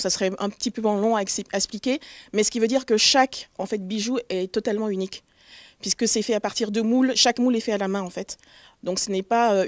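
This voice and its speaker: Woman from togo, chatting as a guest, lots of ums, mid voice, no room echo. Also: mid-range voice. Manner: hesitant, many fillers, warm and proud. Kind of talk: interview answers.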